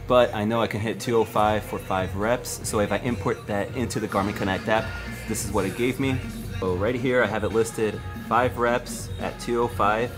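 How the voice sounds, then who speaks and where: A man in his thirties with a lowish voice gives relaxed step-by-step tips straight to the camera. He is recorded up close in a big indoor gym. Music plays steadily under his voice. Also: normal speed. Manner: relaxed, explanatory, addresses viewers directly. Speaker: fitness YouTuber. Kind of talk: casual how-to vlog. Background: background music.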